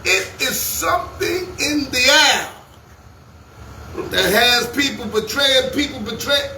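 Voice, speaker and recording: A man in his thirties hosting a lively morning radio show, talking in a playful, preacherly way with rhymes. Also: very high-pitched, slow pace, echoey room, recorded from a distance, slight background noise, rough recording. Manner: preacherly, rhyming, playful banter.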